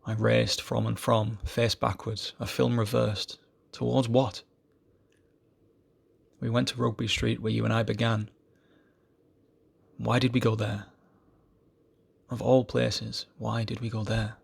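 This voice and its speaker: A man giving an amateur poetry reading in a solemn style, with measured, deliberate phrasing. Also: medium pitch, little pitch movement, vocal fry, husky.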